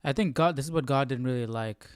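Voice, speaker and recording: A man's voice: medium pitch, fast, a little hiss or hum. A man hosting a Bible study livestream, giving casual, off-the-cuff reactions. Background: faint background music.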